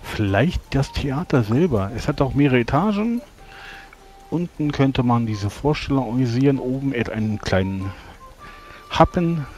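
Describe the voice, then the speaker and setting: A man's voice: medium pitch, lively intonation, normal speed, darkish tone, soft background music. A man taking part in a tabletop roleplay, speaking in character. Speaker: tabletop role-player.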